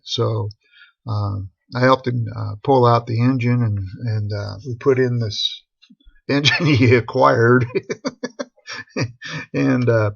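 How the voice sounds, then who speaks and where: A middle-aged man with a gravelly, husky voice telling a casual, rambling personal story in laid-back, slangy talk. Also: medium pitch, fairly bright tone, no room sound. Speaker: storyteller on a live stream. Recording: dull, boxy lo-fi live stream audio.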